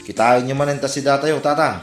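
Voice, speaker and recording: A man's voice: mid-range voice, fast, loud music behind the voice, close to an everyday voice. Man playing an echoey, exasperated grown son. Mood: reproachful. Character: exasperated grown son reproaching his old father. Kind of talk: Ilocano comedy radio-style drama. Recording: roomy echo on the voice.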